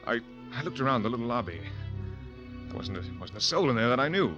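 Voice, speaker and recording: A man's voice: mid-range voice, very animated, lo-fi sound, lightly acted. A young husband wracked with guilt and fear, voiced by an actor tells his story in an old-time radio drama. His narration halts and stumbles over repeated words. A dramatic music score plays behind him. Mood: tense. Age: young adult. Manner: halting, stammering repeats. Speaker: narrator and lead.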